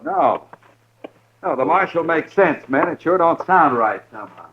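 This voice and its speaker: Casting: worried frontier husband, urgent, raised pitch, radio western with muffled, hissy vintage sound. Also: male voice, big pitch swings, measured pace. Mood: persuaded. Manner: urgent, earnest. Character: anxious, insistent frontier townsman, a husband. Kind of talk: old-time radio western acting.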